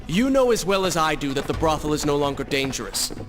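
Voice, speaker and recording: A man's voice: on the high side, sing-song, fast talker. A man playing an earnest, defiant young warrior prince in a video game, speaking with insistent intensity. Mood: resolute. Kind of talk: video game cutscene acting. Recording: music over the voice.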